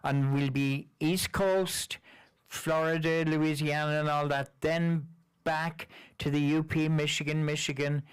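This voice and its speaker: A man's voice: fairly high pitch, measured pace, clear, resonant tone. An Irish man whose first language is Irish, chatting and joking between songs on a coffee house stage. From Ireland. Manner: relaxed, joking, rambling announcements. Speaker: folk musician.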